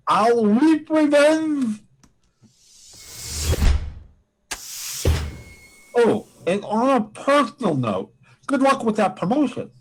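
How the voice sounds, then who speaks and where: A man's voice: high-pitched, slightly rough, full character voice, poor audio. A man playing a petulant multi-armed alien villain, melodramatic and whiny, in a comic old-time radio play. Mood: petulant, vengeful.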